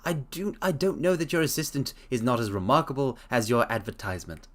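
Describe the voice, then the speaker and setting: A man voicing a sharp, dry-witted detective who speaks with mild irony. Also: mid-range voice, a little fast, lightly acted. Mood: ironic.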